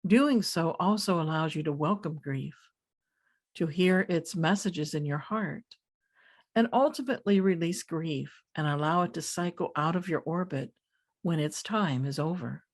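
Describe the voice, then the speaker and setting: Calm woman guiding a meditation. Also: sits low. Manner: calm, deliberate phrasing with pauses. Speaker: meditation teacher. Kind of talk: guided meditation narration.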